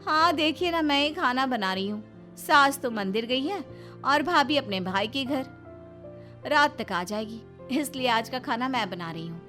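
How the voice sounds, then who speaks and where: A very high, swooping voice of a young daughter-in-law acted by a woman storyteller. She is sly and work-shy, whining theatrically in an exaggerated storybook manner. Music plays behind her. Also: lively intonation, measured pace. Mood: chatty. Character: lazy, scheming young daughter-in-law faking pain and illness.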